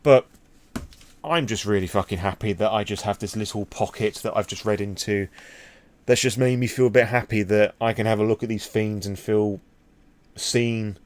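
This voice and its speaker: A British man with a fairly low voice talks straight to his audience in an unscripted vlog. He is candid and reflective, feeling his way through his thoughts and swearing casually as he goes. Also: steady tone, normal speed. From Britain. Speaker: vlogger. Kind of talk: impromptu personal talk to the audience.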